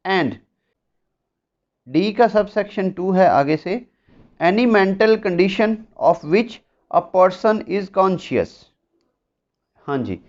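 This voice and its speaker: A man with a Pakistani accent giving an explanatory law lecture, deliberate, often repeating and rephrasing his points. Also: high-pitched, darkish tone. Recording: dull lo-fi sound.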